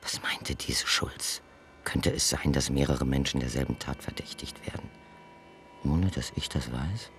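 Deep-voiced, clinical justice official. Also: male voice, a little slow, a bit bright, in a whisper, full character voice. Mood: suspicious, brooding. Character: cold, methodical male official running computer justice simulations. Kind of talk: German radio drama acting.

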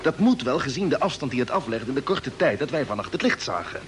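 An actor playing a steady, resolute expedition commander in an old Dutch radio drama, explaining things patiently and firmly. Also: male voice, medium pitch, fast talker, close to an everyday voice. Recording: noisy old radio recording with ambience.

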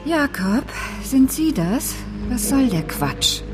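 An actress playing a harried, indignant forty-year-old schoolteacher in a radio crime drama, articulate and easily flustered. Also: female voice, high voice, big pitch swings, takes it slowly, over music, exaggerated voice. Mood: wary, annoyed.

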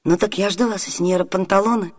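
A woman acts a spirited, decisive noblewoman in a radio comedy. Her voice is medium in pitch and theatrically commanding. The recording has a long studio reverb. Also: steady tone, fast talker, lightly acted. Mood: tender. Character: spirited, commanding noblewoman in love, dressed as a man. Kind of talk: classic comedy radio play acting.

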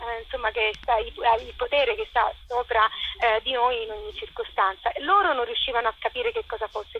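A woman being interviewed as an actress and writer, explaining thoughtfully and haltingly as she searches for words, over a lo-fi phone-like line. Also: fairly high pitch. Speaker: actress and co-author interviewed about her play. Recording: muffled lo-fi phone-like line with noise.

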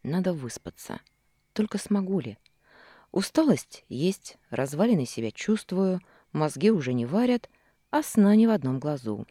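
A woman reading a first-person novel aloud as an audiobook narrator, in a measured, expressive storytelling way with dramatic pauses. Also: fairly low pitch, no echo, clean studio sound. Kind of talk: first-person fiction narration from a male hero's view.

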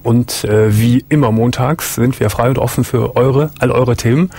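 A man hosting a radio call-in show from a studio, calm and asking short follow-up questions. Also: medium pitch, normal speed, husky. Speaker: late-night call-in radio host. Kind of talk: relaxed call-in radio talk. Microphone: studio microphone.

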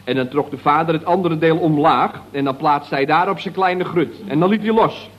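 A man's voice: a little high, normal speed, dull audio, close to an everyday voice. A man playing a pompous, mocking colleague in a radio comedy, wordy and mangling grand words, on an old recording. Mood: wry. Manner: pompous, wordy, over-elaborate diction with malapropisms.

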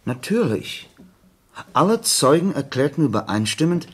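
An actor playing a detective's attentive, easily astonished friend in a German radio play, speaking in a questioning manner. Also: male voice, a little high, big pitch swings, moderate pace, husky. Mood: earnest, thoughtful.

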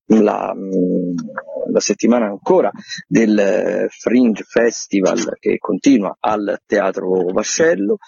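A man runs through theatre listings as a radio critic, casually and with some hesitation. His voice is fairly low and very raspy, in a dry, slightly muffled recording. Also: takes it slowly, dark tone, no room sound. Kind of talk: semi-scripted listings rundown on radio.

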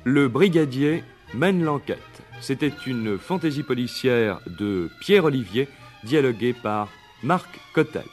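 A male radio announcer reads credits very slowly over faint music. His delivery is formal and deliberate, at a medium pitch, as in a vintage broadcast. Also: expressive. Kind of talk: scripted closing credits for a radio play.

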